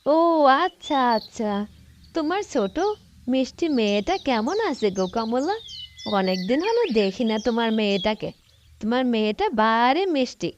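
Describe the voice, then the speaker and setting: A woman's voice: fairly high pitch, animated, normal speed, lo-fi sound, natural voice. Benevolent cartoon lady, female actor, sympathetic and soothing, with very varied intonation. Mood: friendly. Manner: concerned, reassuring. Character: kind, sympathetic well-off lady, gentle-hearted neighbour. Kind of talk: cartoon dialogue. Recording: faint noise, birdsong behind.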